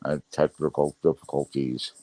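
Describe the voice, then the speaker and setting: A man's voice: extremely low pitch, flat delivery, normal speed, dark tone, no room sound, rough recording. A middle-aged man with a Brooklyn New York accent, chatting casually on a livestream, reading the chat and rambling with frequent laughs. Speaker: livestream host.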